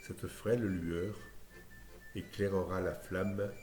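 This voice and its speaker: A man reciting a scripted poem in a lyrical, measured way, phrase by phrase. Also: sits low, steady tone, darkish tone. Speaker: poetry reciter. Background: music.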